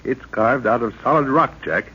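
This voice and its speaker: A man's voice: mid-range voice, normal speed, pushed and bright, husky. A man playing an older explorer professor and protective father in an old radio serial, explaining and commanding with authority. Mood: explanatory, assured. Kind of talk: 1930s-40s radio serial acting. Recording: muffled old radio recording.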